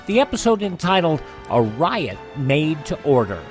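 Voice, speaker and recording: A man's voice: on the high side, very animated, moderate pace, faint music behind. A man hosting a classic radio show, introducing an episode in plain, conversational talk.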